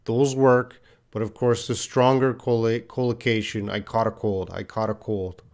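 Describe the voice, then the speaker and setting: A man's voice: on the low side, flat delivery, moderate pace, a little mellow. A man teaching English in a live online lesson, patiently reading out viewers' answers and correcting them with repeated example phrases.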